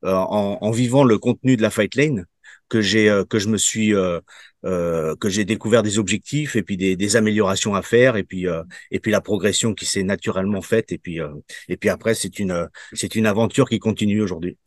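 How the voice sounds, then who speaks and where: A man in his fifties with a lowish voice answers interview questions in a halting way, pausing on fillers and starting his sentences over. His voice is recorded close and dry, with no room sound. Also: steady tone, no echo. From Portugal. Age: 50s. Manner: halting, many fillers and restarts. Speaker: program participant giving a testimonial.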